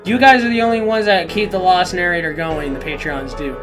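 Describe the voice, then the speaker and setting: A man hosting a reaction video, talking casually and excitably in slangy, repetitive bursts. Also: sits high, brisk, over music. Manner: excitable, informal, slangy, repeats phrases. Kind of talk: casual live reaction commentary.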